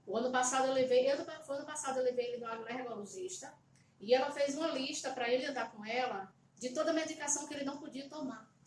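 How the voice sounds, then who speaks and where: A woman's voice: high voice, fast. A middle-aged Brazilian woman telling a personal story to camera from her home, hesitant and repeating words with many fillers. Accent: Brazilian Portuguese. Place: home, at a table. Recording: roomy echo, slightly distant mic, faint background hum.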